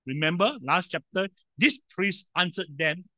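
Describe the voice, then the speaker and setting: A man preaching a sermon in a loud voice, emphatic, with sudden swings in volume and frequent rhetorical questions. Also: sits high, lively intonation, measured pace, no echo.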